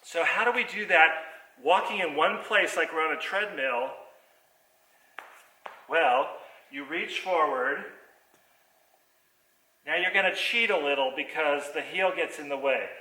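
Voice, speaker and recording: A man's voice: on the high side, measured pace, long pauses, sharp tone. A man giving a hands-on theatre lesson, explaining movements step by step. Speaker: theatre teacher. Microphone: distant computer microphone on a video call. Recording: echoey theater, voice far from microphone.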